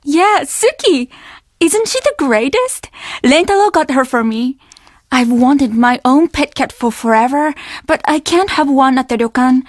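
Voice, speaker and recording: A woman's voice: sits high, expressive, a little slow, no echo, clean studio sound. A woman voicing a courteous, reassuring young inn receptionist in a video game, eager to please. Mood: delighted. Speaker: voice actor playing an inn receptionist. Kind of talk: video game dialogue lines.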